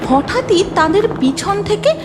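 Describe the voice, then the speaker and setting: A woman's voice: extremely high pitch, animated, a little slow, over music. A woman narrating a cartoon story from a script.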